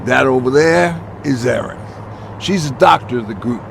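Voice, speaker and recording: A man's voice: mid-range voice, animated, moderate pace, gravelly. A man voicing a practical, level-headed leader of survivors in a video game. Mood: composed. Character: steady, practical male leader of survivor band. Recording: vehicle and outdoor noise behind.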